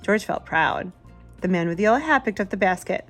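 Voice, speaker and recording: A woman's voice: medium pitch, fairly quick. Woman reading a picture book, music behind. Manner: expressive, simple storytelling for young children. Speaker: children's book reader, channel host. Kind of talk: picture-book read-aloud with asides to a child.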